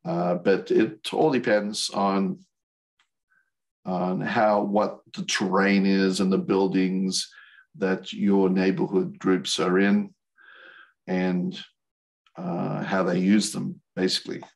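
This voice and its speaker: An older man with an American accent giving an informal slide presentation in a hesitant, halting way. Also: low voice, little pitch movement, vocal fry. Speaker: volunteer instructor, radio operator. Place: video call.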